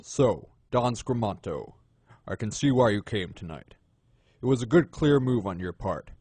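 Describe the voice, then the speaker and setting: A man playing a suave, menacing gentleman crime boss in an audio drama, unhurried and courtly in manner. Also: fairly low pitch, animated, loud music behind the voice. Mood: coldly menacing.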